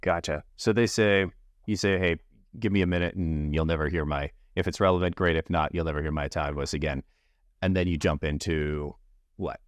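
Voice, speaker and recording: A man hosting a podcast, relaxed, asking short follow-up questions in casual conversation. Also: very deep voice, a little fast, no room sound. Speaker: podcast host.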